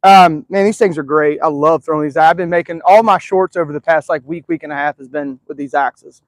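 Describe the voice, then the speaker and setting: A man's voice: a little high, fairly quick, no echo, rough recording. A man hosting a casual live stream outdoors, chatting in a rambling, easygoing way with lots of fillers. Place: outdoors in a backyard.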